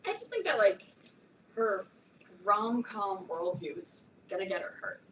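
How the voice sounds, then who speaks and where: A woman in a staged reading playing an opinionated, excitable teenage fan club girl, chatty and emphatic. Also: medium pitch, a little slow, highs cut off. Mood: earnest, worried. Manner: chatty, emphatic, peppered with like and literally. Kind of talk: staged reading of a play, naturalistic dialogue. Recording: roomy, distant mic, faint noise.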